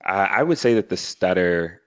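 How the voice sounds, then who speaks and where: A man's voice: low-pitched, expressive, normal speed, no room sound, dull audio. A man speaking as an interview guest in an earnest, reflective conversational way, often checking in with "right?". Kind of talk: motivational conversational talk.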